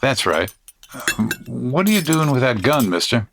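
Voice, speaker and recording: A man doing a calm, polite stranger. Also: medium pitch, a little slow, vocal fry, a little hiss or hum, full character voice. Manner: calm, courteous. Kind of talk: comedy audio drama acting.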